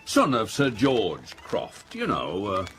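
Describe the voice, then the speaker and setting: Pompous, low-voiced middle-aged businessman. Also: huge pitch swings, takes it slowly, a bit bright, slight background noise, heavily acted. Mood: brisk, authoritative. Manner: blustering, self-important. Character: pompous middle-aged factory owner, self-important and dismissive. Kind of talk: radio play acting.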